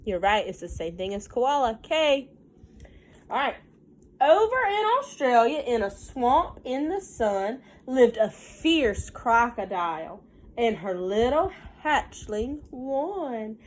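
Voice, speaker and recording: Animated, higher-pitched woman reading to children. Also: slow. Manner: sing-song, expressive, addresses young children. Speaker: teacher reading a picture book aloud to children. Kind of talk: animated children's read-aloud with simple questions and asides.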